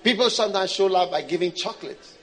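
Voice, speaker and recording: A man's voice: high-pitched, fast. A man preaching a sermon in an informal, conversational way, repeating phrases for emphasis and speaking straight to his congregation. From Switzerland. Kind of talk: sermon with anecdotes and jokes. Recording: live audience sound behind the voice.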